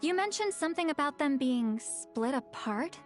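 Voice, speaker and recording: An actress voicing a relaxed, self-assured young woman in a video game, speaking casually. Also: high-pitched, normal speed, soft background music. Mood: curious. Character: easygoing young woman, a skilled tracker. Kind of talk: game voice acting.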